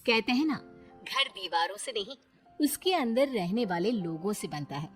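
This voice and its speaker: A woman's voice: mid-range voice, animated, normal speed, fairly bright tone. A woman narrating a family story in a dramatic scripted style. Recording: music under the voice. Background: music.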